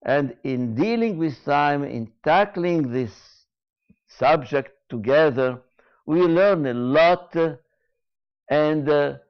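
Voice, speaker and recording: A man giving a reflective spoken lecture, slow and deliberate, repeating key phrases with frequent hesitations. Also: on the high side, smooth, highs cut off. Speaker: speaker giving a talk.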